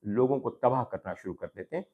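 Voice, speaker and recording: A man's voice: mid-range voice, brisk, phone-quality sound. A man with a Pakistani accent hosting a talk programme, addressing viewers formally in an opinion monologue with rhetorical questions and emphatic repetition. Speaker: talk show host. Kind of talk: opinion monologue to camera on social issues.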